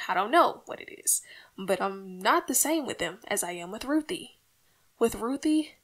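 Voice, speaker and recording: A woman's voice: fairly high pitch, fairly bright tone. A woman playing an earnest, peacemaking housewife and mother in an old-time radio comedy, folksy, plaintive and coaxing.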